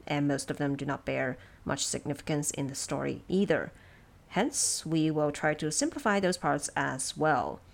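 A woman presenting explanatory production notes from a script in a measured, instructive way. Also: deep voice, gravelly. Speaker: audio drama producer and presenter.

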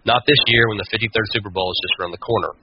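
A man hosting a sports talk podcast, giving commentary with a halting delivery that often repeats words. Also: lowish voice, steady tone. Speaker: podcast host. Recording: dull lo-fi sound with clear noise.

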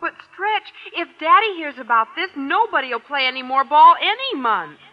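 High-voiced American teenage schoolgirl. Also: sing-song, normal speed. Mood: concerned. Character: sweet, sensible teenage schoolgirl, principal's daughter. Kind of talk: 1940s-50s American radio comedy acting. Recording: muffled old-time radio recording.

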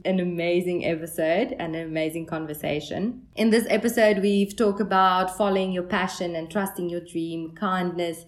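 A woman's voice: fairly low pitch, little pitch movement, moderate pace, few pauses. A woman hosting a casual podcast interview, recorded outdoors on a sidewalk by a coffee shop, full of praise for her guest. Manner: effusive, frequent warm praise. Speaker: podcast host.

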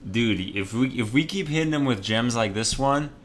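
A man's voice: medium pitch, expressive, moderate pace, a little hiss or hum. A man co-hosting a podcast, trading dry, teasing banter in a casual chat. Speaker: podcast co-host.

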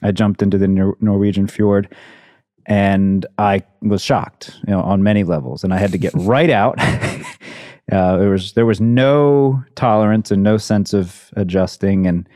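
A man's voice: lowish voice, fairly even intonation, unhurried, warm tone, no echo, studio quality. A man in his thirties hosting a conversation, speaking in a thoughtful, hesitant way and searching for words. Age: 30s. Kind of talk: casual conversation.

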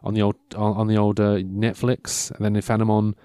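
A man's voice: fairly low pitch, completely flat delivery, a little fast, dark tone, dry recording. A man in his mid-thirties chatting casually in a meandering, self-interrupting way, losing his train of thought, with dry self-mocking humour. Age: 30s. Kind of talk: rambling casual monologue.

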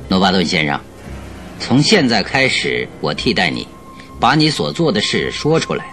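A man's voice: deep voice, wildly swooping pitch, moderate pace, a bit bright, full character voice. An actor playing a confident male detective in a radio drama, courteous and masterful as he lays out his deductions. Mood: courteous, commanding. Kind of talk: Chinese radio drama acting. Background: background music.

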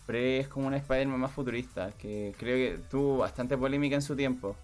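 A man hosting a casual podcast chat, relaxed and hesitant, reading out listener comments. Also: mid-range voice, brisk, faint music behind. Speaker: podcast host.

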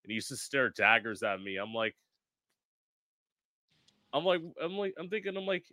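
Male host, sharp bright tone, frank and profane, dry close-miked read. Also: medium pitch, sing-song, moderate pace, dry recording. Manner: frank and brassy, swears freely. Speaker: interview host. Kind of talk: casual interview chat.

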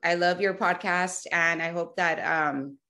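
This is a chatty woman from South Texas, in her thirties, guesting on a podcast. Her voice sits a little low for a woman. She talks loosely, restarting her sentences and padding them with fillers. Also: steady tone, measured pace, pushed and bright. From Rio Grande Valley, South Texas. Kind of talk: casual remote interview.

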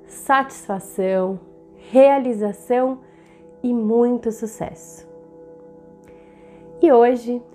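Slow, higher-voiced Brazilian woman guiding meditation. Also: dark tone. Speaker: guided meditation host. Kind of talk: guided meditation narration. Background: meditative background music.

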